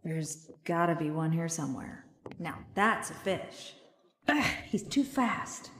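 A woman's voice: lowish voice, lively intonation, takes it slowly, a bit bright, slight background noise. An actress playing an earnest, determined young woman trapped in an inky cartoon world in a video game, speaking conversationally and expressively. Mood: curious. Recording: echoey hall reverb.

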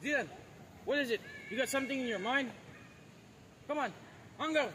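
A man's voice: very high voice, moderate pace, pushed and bright. A man playing a demanding, encouraging athletics trainer in a drama, speaking in an urgent, pressing way. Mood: urgent, challenging. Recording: very noisy location sound.

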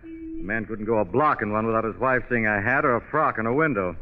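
An actor playing an urbane, wry gentleman hero in 1940s radio style. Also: fairly low pitch, fast talker, natural voice. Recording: noisy, dull vintage radio recording.

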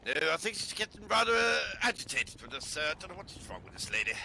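A man voicing a prim, fretful English gentleman who frets over small troubles. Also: high voice, big pitch swings, quick pace, gravelly, slight background noise, full character voice. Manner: prim, fretful, overly polite. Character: posh English gentleman, prim and easily flustered.

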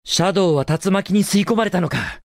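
A man's voice: sits high, fairly quick, gravelly, no room sound, studio quality. A man voicing a cocky, upbeat, heroic young hedgehog in a Japanese video game, with a confident, casual, brash delivery. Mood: brisk, focused. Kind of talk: Japanese video game voice acting, short clips.

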